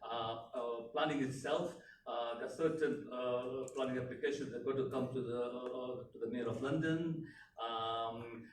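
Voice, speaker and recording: A man's voice: mid-range voice, fairly even intonation, normal speed. A middle-aged man from Tanzania, an elected politician, giving an unscripted speech at a community event, hesitant with many fillers and restarts. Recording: echoey room, mic far off, background noise.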